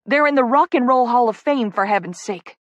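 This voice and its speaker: A narrator plays an excitable little Southern woman in publicity, from an audiobook novel. Her voice sits high and swings upward with emphatic, breathless gushing. She is bubbly and effusive, with a Southern drawl, recorded close and dry. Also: normal speed, no echo, lo-fi sound, close to an everyday voice. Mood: squealing excitement.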